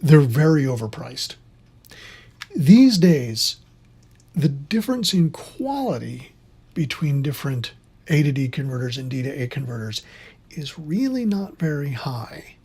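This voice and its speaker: An instructor of unclear sex giving an informal, opinionated tutorial in a husky, conversational voice that rambles along. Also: mid-range voice, sing-song, measured pace, a little mellow. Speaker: instructor in theater sound.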